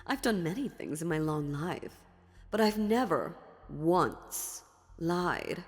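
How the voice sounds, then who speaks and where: A woman playing an ancient, sly and dominant female demon in a dark fantasy audio roleplay, taunting theatrically with deliberate pauses. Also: fairly low pitch, animated. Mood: smug, amused. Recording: long reverb, music underneath.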